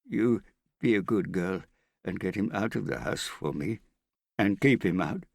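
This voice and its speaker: A man's voice: deep voice, expressive, unhurried, gravelly, dry recording, clean studio sound, voice pushed up, heavily acted. A man voicing a paranoid, frightened, hard-drinking man in hiding, agitated and pleading, in an audiobook. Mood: irritated. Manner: agitated, suspicious, pleading, prone to shouting. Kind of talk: audiobook character acting.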